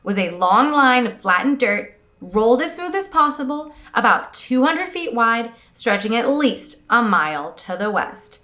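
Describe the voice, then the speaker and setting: A woman's voice: fairly high pitch, very animated, measured pace, sharp tone. A young woman co-hosting a comedic book recap, reading passages aloud and adding jokey, playful asides. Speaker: podcast co-host.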